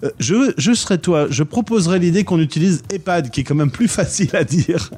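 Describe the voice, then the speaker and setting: A man from France interviewing a guest, asking brisk, teasing questions. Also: fairly high pitch, expressive, a little mellow, husky. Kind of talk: radio interview.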